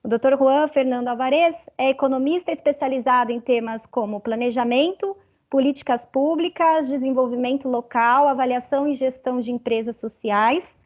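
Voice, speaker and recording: A woman's voice: sits high, moderate pace, lo-fi sound. A woman with a Brazilian Portuguese accent moderating an academic webinar, reading statistics carefully and adding "né" often. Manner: careful, reads figures, frequent "né". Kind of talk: academic panel presentation.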